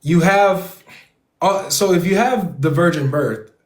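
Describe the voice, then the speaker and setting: A man's voice: sits high, slow. Probing male debate host, echoey room. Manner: probing, presses a point with repeated questions. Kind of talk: live online debate panel.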